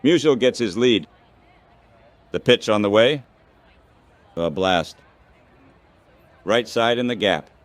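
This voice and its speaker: A man's voice: fairly low pitch, animated, takes it slowly, pauses often, some chatter behind. A synthetic text-to-speech man's voice calling baseball play-by-play in a radio style, in short clipped phrases.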